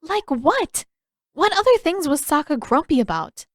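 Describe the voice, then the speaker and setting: A woman's voice: high voice, sing-song, unhurried, dry recording, clean studio sound, pitched above the performer's own voice. A woman voicing a caring but bossy teenage girl in an audiobook, emphatic and full of questions. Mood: exasperated.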